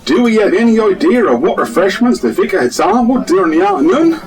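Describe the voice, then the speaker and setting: Officious male village constable, acted by a man, comic radio drama, high pitch, swooping delivery, formal manner. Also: sing-song, brisk, faint music behind. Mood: officious, inquiring.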